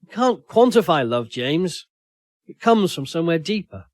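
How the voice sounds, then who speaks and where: A man's voice: fairly high pitch, sing-song, moderate pace, no room sound, clean studio sound. A man voicing a hurt, accusing woman in an audio story, reproachful and pleading. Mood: cold, reproachful. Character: Steph, hurt, accusing young woman in a failing relationship.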